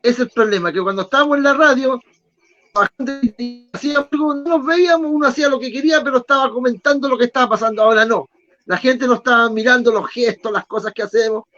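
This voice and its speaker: High-voiced man hosting a chat. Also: fast talker, bright, forceful tone, soft background music. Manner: chatty, frequent short acknowledgments. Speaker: radio show host. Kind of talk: casual interview chat. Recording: dull lo-fi sound.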